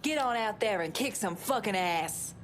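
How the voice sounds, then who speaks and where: An actress playing a tough, sharp-tongued, candid woman in a crime video game. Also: mid-range voice, lively intonation, moderate pace, a little hiss or hum, close to an everyday voice. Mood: spirited. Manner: frank, wry, swearing.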